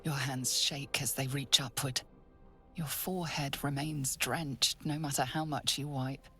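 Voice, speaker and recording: A woman narrating a fantasy video game in a hushed, deliberate, dramatic scripted style. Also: extremely low pitch, steady tone, soft background music.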